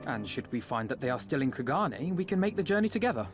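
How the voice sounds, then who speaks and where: A man's voice: mid-range voice, sing-song, fast, faint music behind, close to an everyday voice. A man in a game cutscene proposing a plan earnestly. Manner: earnest, decisive planning. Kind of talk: video game cutscene acting.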